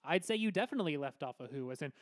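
A man's voice: on the high side, expressive, rapid-fire, close to an everyday voice. A man playing a smug, theatrically villainous school gang leader in a comedy, mock-courteous and taunting. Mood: menacing, mocking. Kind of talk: comedy series acting.

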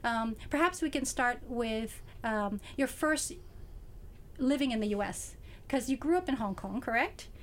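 Higher-pitched woman interviewer. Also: animated, unhurried. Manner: thoughtful, rambling questions with fillers. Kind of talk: radio interview.

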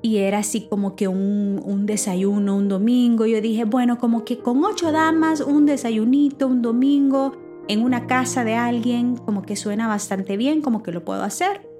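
A woman who preaches, with Spanish as her first language, telling a personal story in a lively, halting way with many restarts. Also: fairly high pitch, soft background music. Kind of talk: personal testimony, casual talk.

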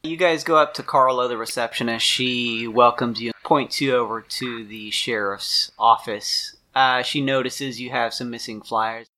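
A man's voice: mid-range voice, fairly even intonation, unhurried, few pauses, pushed and bright. A man narrating a tabletop role-playing game as game master, improvising the scene for the players.

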